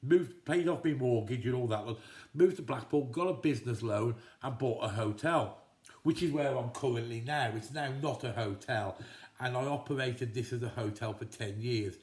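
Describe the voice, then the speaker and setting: A man's voice: mid-range voice, moderate pace, darkish tone. Chatty fifties man in an echoey kitchen. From England, Telford. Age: 50s. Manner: rambling and chatty, with frequent restarts. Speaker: vlogger answering viewer questions. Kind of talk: casual chat to camera. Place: home kitchen.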